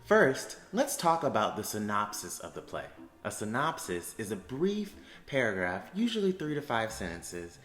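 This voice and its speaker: A young man with a lowish voice talks to students in an upbeat, friendly teacher tone. His voice echoes around a roomy space, and faint music plays softly behind him. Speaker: theater education associate. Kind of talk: explanatory talk to students. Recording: roomy echo with soft music.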